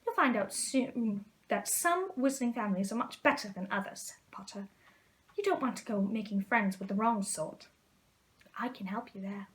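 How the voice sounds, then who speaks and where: A woman's voice: medium pitch, normal speed, natural voice, low-quality recording. A woman voicing a sneering, condescending schoolboy bully in a storybook reading. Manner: careless, condescending drawl.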